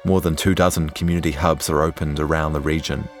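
A man reading scripted documentary narration in a measured, serious storytelling manner. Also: extremely low pitch, little pitch movement, faint music behind. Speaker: journalist narrator.

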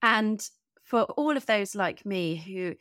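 A woman's voice: medium pitch, lively intonation, slow pace, a bit bright, smooth, no room sound, clean studio sound. A woman hosting a podcast, speaking in an effusive, enthusiastic way as she introduces and interviews. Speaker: podcast host.

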